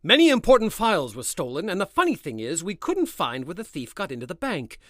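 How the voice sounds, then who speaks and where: An actor playing a smug, indignant banker in an audio drama, with a haughty, dismissive and drily sarcastic manner. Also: male voice, sits high, huge pitch swings, a little fast, fairly bright tone, no echo, studio quality, full character voice. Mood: accusing. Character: smug, indignant male banker accused of villainy.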